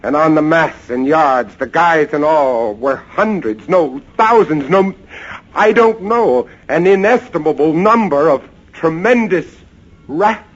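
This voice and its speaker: A man's voice: fairly high pitch, slow pace. A man acting a frightened lighthouse keeper who narrates a sea horror tale in an intense, suspenseful old radio style. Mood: alarmed, excited. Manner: intense, suspenseful, swinging from hushed to excited. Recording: muffled, hissy old radio drama recording.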